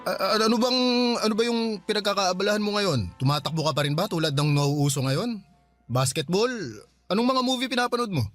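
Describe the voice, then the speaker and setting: A man's voice: high voice, expressive, fairly quick, fairly bright tone, faint music behind. A male actor with a Filipino accent playing a patient, awkward older brother in a radio drama, coaxing and hesitant. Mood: awkwardly coaxing. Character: conciliatory older brother back from years abroad, patient, awkward.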